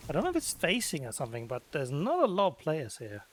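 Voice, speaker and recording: A man's voice: a little high, wildly swooping pitch, fast, slight background noise. A man giving casual gameplay commentary, musing aloud to himself as a let's player.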